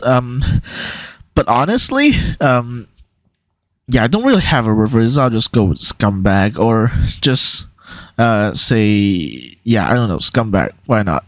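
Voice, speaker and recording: A man's voice: mid-range voice, no room sound. A young man from Hong Kong with a neutral American-ish accent, casually talking through an online quiz in a hesitant, rambling way with many fillers. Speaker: YouTuber.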